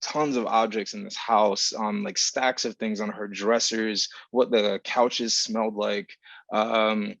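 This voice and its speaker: A man who is a writer giving a reflective, informal panel answer in a halting, searching, thoughtful way. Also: on the low side, level tone, vocal fry, fairly bright tone, no echo.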